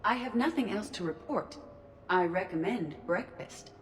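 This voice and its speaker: An actress playing a stiff female officer in a video game, delivering everything formally and deadpan. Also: medium pitch, normal speed, slight background noise, natural voice.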